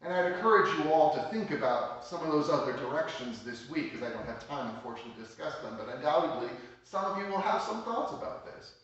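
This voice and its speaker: A man delivers a Sunday sermon in a steady, explaining manner, his voice sitting a little high. The sound carries heavy church reverb, as if picked up from a distance across the sanctuary. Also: lo-fi sound. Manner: measured teaching tone, explains scripture plainly. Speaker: pastor. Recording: echoey church hall, mic at a distance.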